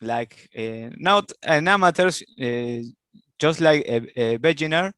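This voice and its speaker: A man's voice: a little high, animated, a bit bright, no echo. A man with an Argentine Spanish accent speaking English as a second language, hesitant and full of fillers. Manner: slow, hesitant, many fillers. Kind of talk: casual chat.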